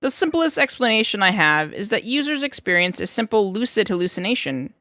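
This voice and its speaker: A woman voicing an enthusiastic, talkative male research scientist in an audiobook, explaining things in an animated, chatty way. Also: medium pitch, a little fast, no echo, clean studio sound. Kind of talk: fan fiction audiobook character reading.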